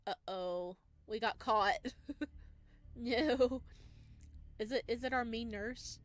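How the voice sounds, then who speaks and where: A woman's voice: a little high, takes it slowly, no room sound. A woman doing a let's play, reading game text aloud and adding casual reactions. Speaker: host playing and reading a visual novel. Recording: music behind the voice. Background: background music.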